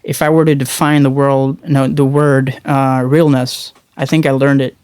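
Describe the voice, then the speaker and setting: A young man from Norway, a musician guest, talking thoughtfully in a casual interview, often pausing and restarting his words. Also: mid-range voice, little pitch movement, a little mellow, clear, resonant tone, dry recording.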